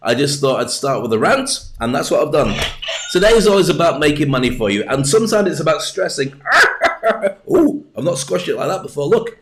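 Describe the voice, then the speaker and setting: A man's voice: on the high side, big pitch swings, fairly quick, noisy background. A British man hosting a livestream, talking in a chatty, jokey, rambling way into a webcam mic at his desk. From Britain. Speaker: livestream host. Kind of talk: rambling casual monologue.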